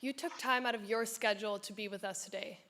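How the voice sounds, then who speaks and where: A young woman from Germany, a university student, asks a prepared question in a polite, composed manner. Her voice is bright and clear at a middle pitch. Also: steady tone, fast talker. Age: young adult.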